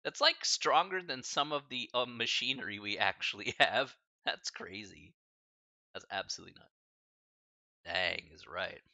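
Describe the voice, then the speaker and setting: A man's voice: lowish voice, expressive, a little slow, gravelly, bright, forceful tone, no room sound, dull audio. A man teaching a live-streamed math class in a casual, chatty way, full of fillers and slang asides. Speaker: math instructor.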